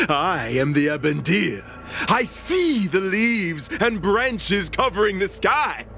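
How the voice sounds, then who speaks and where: An actor playing a deranged, ecstatic cultist in a video game, raving in drawn-out rhapsody. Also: male voice, high-pitched, big pitch swings, vocal fry, sharp tone, faint music behind, heavily acted. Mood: crazed rapture. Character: mad Mara-struck male cultist, ecstatic and raving. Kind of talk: dramatic video game voice acting.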